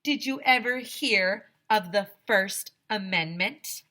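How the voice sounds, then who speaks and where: A woman's voice: medium pitch, slow pace, pushed and bright. A woman voicing a defiant, indignant male ice cream truck driver calling out in a children's storybook. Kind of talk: children's storybook read-aloud.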